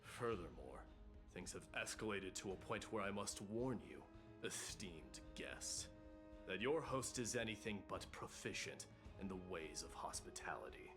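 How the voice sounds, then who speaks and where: A man voicing a proud, gruff warrior prince in a video game, speaking in a haughty, blunt and formal way. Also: low voice, sing-song, measured pace. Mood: stern, defiant. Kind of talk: dramatic video game voice acting. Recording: loud music underneath. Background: game music.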